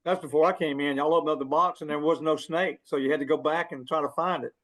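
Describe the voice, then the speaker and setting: A man's voice: fairly high pitch, steady tone, quick pace, a bit bright. A middle-aged man telling a story casually as a podcast guest. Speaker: former player, podcast guest.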